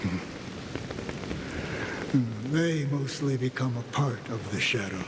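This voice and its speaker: Slow, solemn sage, echoing reverb. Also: male voice, medium pitch, expressive, very noisy. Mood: solemn, measured. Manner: solemn, measured, deliberate. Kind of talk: scripted game drama dialogue.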